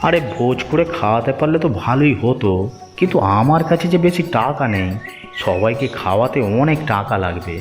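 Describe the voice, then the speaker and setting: A man's voice: medium pitch, expressive, fairly quick, big-room sound, soft background music, close to an everyday voice. A man voicing a mild, cautious village husband in a Bengali cartoon. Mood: reluctant. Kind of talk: Bengali moral-story cartoon dubbing.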